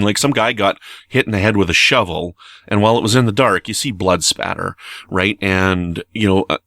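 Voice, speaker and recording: A man's voice: fairly low pitch, vocal fry, bright, forceful tone, dry recording, studio quality. A man giving his opinion in an informal, conversational message, speaking earnestly and with emphasis. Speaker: listener leaving feedback message.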